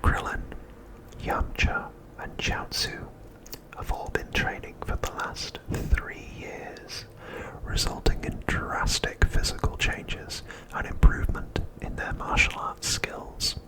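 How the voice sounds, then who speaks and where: A man narrating a script as ASMR in a breathy, unvoiced whisper, softly and deliberately, pausing often between phrases. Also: poor audio. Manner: soft, deliberate, with frequent pauses between phrases.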